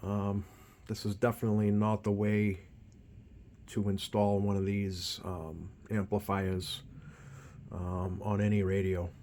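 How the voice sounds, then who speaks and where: A man with a lowish voice talks through a hands-on repair as a technician would. His delivery is unscripted and halting, with thoughts that trail off and pick up again. A light layer of background noise sits under the voice. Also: level tone, darkish tone. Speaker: CB radio repair technician and channel host.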